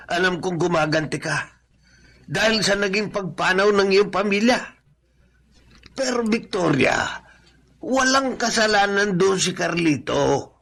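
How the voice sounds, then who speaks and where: Creaky old servant man in filipino radio drama, high sharp voice, moralizing and worried. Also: full character voice. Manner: earnest, admonishing. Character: older male servant, moralizing, concerned, frank.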